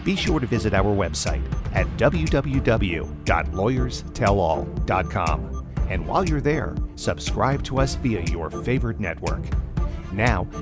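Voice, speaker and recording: A man with a lowish voice reads the podcast opening like a polished promo, his pitch moving widely. Music plays clearly under him as a produced voice-over. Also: very animated, measured pace. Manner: smooth promo delivery. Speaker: announcer. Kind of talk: scripted announcement over music.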